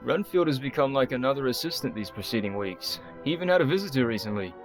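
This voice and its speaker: An earnest gentleman physician acted by a man with a mid-range voice, sensible and weary. He phrases things formally, in the manner of radio drama, with music clearly audible behind. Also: medium pitch, animated, quick pace, close to an everyday voice. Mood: courteous. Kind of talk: radio play acting.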